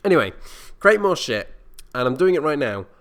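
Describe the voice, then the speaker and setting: A man's voice: on the high side, sing-song, normal speed. A young man talking casually as a vlogger, rambling in a self-deprecating, mildly sweary way. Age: young adult. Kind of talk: casual solo audio diary. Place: indoor university communal area at night.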